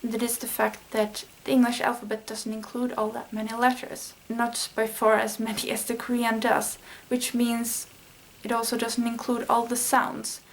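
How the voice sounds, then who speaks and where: Swedish woman, earnest and even-toned. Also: on the high side, moderate pace, hardly any pauses, a little hiss or hum, low-quality recording. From Sweden. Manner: earnest, thinking aloud with small fillers. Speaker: language learning vlogger. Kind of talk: casual explanatory vlog lesson.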